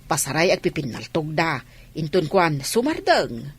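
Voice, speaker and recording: Husky, animated village woman acted by a woman. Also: low-pitched, moderate pace, soft background music, exaggerated voice. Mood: matter-of-fact. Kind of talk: Ilocano radio drama acting.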